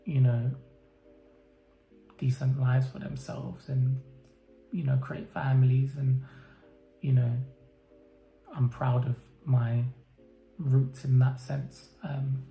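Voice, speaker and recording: A man from the UK answering interview questions about his life, hesitant and reflective, with many pauses and fillers. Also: mid-range voice, flat delivery, creaky, mellow. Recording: roomy echo, faint music behind.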